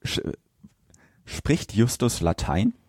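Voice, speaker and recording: Low, mellow-voiced man chatting. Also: very animated, takes it slowly, dry recording, studio quality. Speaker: podcast co-host. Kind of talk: casual podcast banter.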